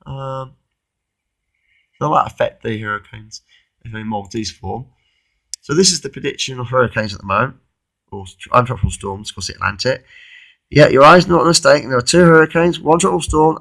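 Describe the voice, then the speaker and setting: A man of thirty-one, losing his voice with a cold, gives an informal, halting weather forecast commentary and often repeats himself. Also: mid-range voice, vocal fry, a bit bright, rough recording. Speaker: amateur weather vlogger.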